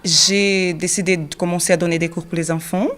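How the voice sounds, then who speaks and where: Brazilian woman speaking halting French. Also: on the low side, fairly even intonation, fairly bright tone. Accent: Brazilian Portuguese. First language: Portuguese. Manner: searching non-native French, frequent fillers and quick laughs. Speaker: drama teacher and actress. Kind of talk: interview answers.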